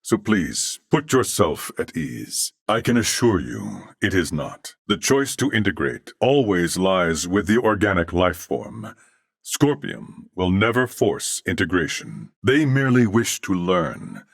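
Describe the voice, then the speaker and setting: A low-voiced, courteous humanoid fused with machine intelligence for a video game. The diction is formal, measured and earnest, yet the intonation moves expressively. The sound is dry and close, giving the thoughtful character a clean studio presence. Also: male voice, very animated, gravelly, no room sound, full character voice. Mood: calm, reassuring.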